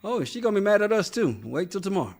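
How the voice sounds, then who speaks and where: A man's voice: high voice, sing-song, fast talker, darkish tone. A man co-hosting a casual podcast, chatting loosely, reading viewer comments aloud, laughing often and repeating words. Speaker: podcast co-host. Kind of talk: casual chat reading live chat.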